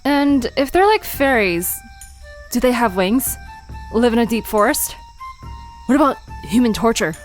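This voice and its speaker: A woman's voice: a little high, animated, measured pace, long pauses, low-quality recording. An actress playing a curious, playful young woman in an audio drama, inquisitive, teasing and a little skeptical. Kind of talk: conversational audio drama acting. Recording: music and crickets behind voice.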